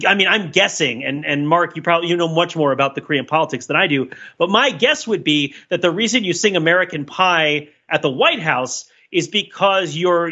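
A man's voice: a little high, bright, forceful tone, highs cut off. A man talking casually about pop culture as a podcast panelist, in a rambling, digressive and analytical way.

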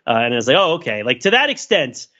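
A man's voice: a little high, very animated, quick pace, pushed and bright, slightly rough, muffled recording. An American man co-hosting a podcast, riffing in a rambling, casual, joking way over a call. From United States. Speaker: podcast co-host. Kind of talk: casual, joking group discussion.

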